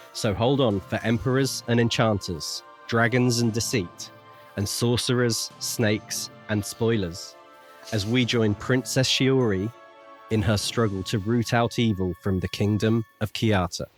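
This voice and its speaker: A man hosting a book-club podcast in a conversational presenting style, close to the microphone. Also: fairly low pitch. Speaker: podcast host. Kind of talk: podcast introduction and casual book discussion.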